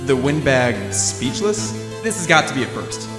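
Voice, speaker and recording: A man's voice: mid-range voice, very animated, moderate pace, natural voice. A man voicing an earnest young defense lawyer with wry sarcasm in an amateur courtroom fan dub. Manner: emphatic, wry asides. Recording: music behind the voice.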